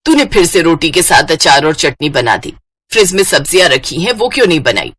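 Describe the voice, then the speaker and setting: A woman's voice: deep voice, very animated, a little fast, fairly bright tone, breathy edge, pitched below the performer's own voice, full character voice. A woman voicing an exasperated middle-aged mother who chides her lazy daughter in a cartoon moral story. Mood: scolding. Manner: chiding, exasperated.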